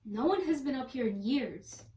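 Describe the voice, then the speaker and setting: A woman's voice: high-pitched, animated, moderate pace, mellow. A woman playing a practical, questioning studio worker in a radio play. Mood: concerned.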